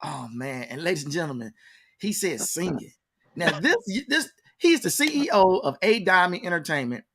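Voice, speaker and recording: A man with a fairly high-pitched voice hosts a casual interview. He talks in a folksy, hyping way and likes to repeat words for emphasis. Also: very animated, moderate pace, fairly bright tone. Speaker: interview show host. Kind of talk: casual talk-show interviewing.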